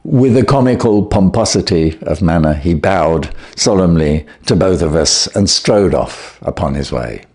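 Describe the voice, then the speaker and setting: A man reading a classic story aloud as scripted narration, measured and expressive. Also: sits low. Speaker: audiobook reader.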